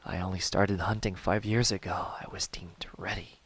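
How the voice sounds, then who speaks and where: A man playing a smug, menacing young demon hunter in an ASMR roleplay, taunting softly and deliberately, often close to a whisper. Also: low voice, steady tone, husky. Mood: brooding, musing. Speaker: amateur voice actor.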